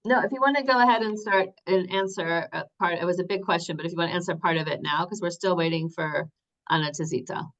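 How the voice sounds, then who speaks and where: A woman moderating an online call, relaxed and friendly, laughing lightly. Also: lowish voice, quick pace. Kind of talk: casual moderating. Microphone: video call. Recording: dull lo-fi call audio.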